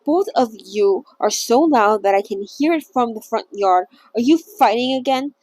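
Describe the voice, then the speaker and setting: A woman's voice: a little high, expressive, moderate pace, darkish tone, no echo, poor audio. A woman reading the lines of a tall, dignified male boss who scolds his men coldly. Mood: scolding. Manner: cold, scolding.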